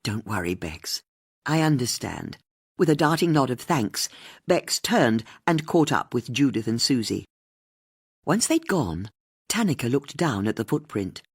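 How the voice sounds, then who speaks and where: Fiction audiobook narrator: lively intonation, lightly husky tone, dry close sound. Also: medium pitch, unhurried, no room sound.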